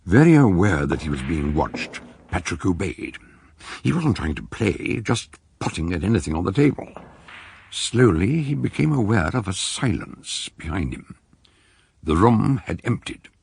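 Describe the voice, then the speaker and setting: A man's voice: deep voice, sing-song, unhurried, vocal fry, darkish tone, a little hiss or hum. A man narrating a scripted detective story in a dramatic style.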